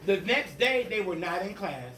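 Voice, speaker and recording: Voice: mid-range voice, animated, normal speed, bright, forceful tone. A storybook reader doing a theatrical, emphatic read-aloud of a children's picture book. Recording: echoey room, distant mic, faint noise.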